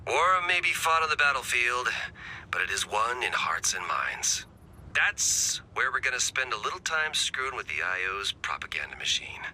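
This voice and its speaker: An actor playing a wry, excitable resistance technician in a video game, chatty and sardonic with a flair for drama. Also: male voice, mid-range voice, normal speed, a little hiss or hum, low-quality recording. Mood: wry, rallying.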